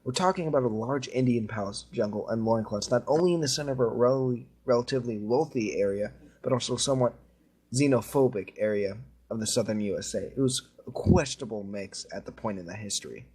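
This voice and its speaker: A man's voice: medium pitch, fairly quick, dark tone, slightly rough. A man reading a creepy story aloud to friends in an informal way. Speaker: story reader.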